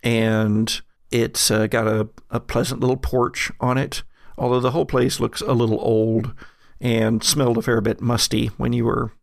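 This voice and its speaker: A man narrating a horror tabletop game as game master, telling it in a halting, descriptive way. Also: mid-range voice, little pitch movement, vocal fry, no room sound, clean studio sound.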